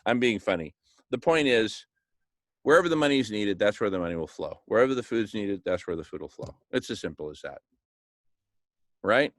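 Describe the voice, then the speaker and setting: A man talking informally and enthusiastically like an organizer pitching a plan, emphatic and jokey, often checking for agreement. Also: lively intonation, a little fast, long pauses, dry recording, studio quality. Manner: enthusiastic, emphatic, repeatedly seeking agreement with tag questions; jokey, chuckles at his own remarks. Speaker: organizer of a food charity project. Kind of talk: informal motivational planning talk.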